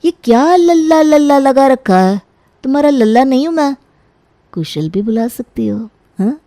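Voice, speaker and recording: A woman acts out a young man, a scheming brother-in-law in an audio story. The delivery is insinuating and scornful, with a soft dark tone and a dry, close sound. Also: sits high, animated, moderate pace, dry recording, voice pushed up. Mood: irritated, insistent. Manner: insinuating, taunting, pushy.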